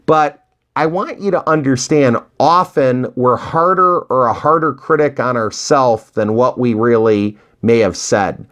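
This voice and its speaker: A man's voice: medium pitch, a little slow, highs cut off. A man coaching beginners in a motivational tutorial style, with a folksy, emphatic manner, rhetorical questions and little acted-out examples. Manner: animated, acts out imagined dialogue; folksy, encouraging. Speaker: real estate investing coach. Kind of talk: motivational tutorial talk to viewers.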